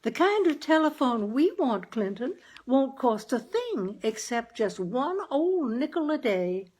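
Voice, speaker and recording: A gentle, wheedling mother from a storybook, acted by a woman reader, pitched high and lilting. The talk is chatty, folksy and persistently coaxing. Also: big pitch swings, unhurried, heavily acted. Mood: eager, persuasive.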